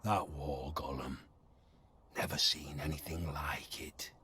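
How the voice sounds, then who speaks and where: A gruff game warrior, speaks slowly in an extremely low voice. It is harsh and forceful, full of rasp and gravel. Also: male voice, steady tone, exaggerated voice. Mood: grim awe. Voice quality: very raspy, gravelly. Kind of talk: video game cutscene acting.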